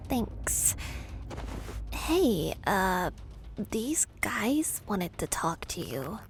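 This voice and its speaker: A woman voicing a coy, alluring assistant posing as an escort in a cartoon, soft-spoken. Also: medium pitch, very animated, very slow, creaky, a little hiss or hum, full character voice, rough recording. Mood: sly, quiet.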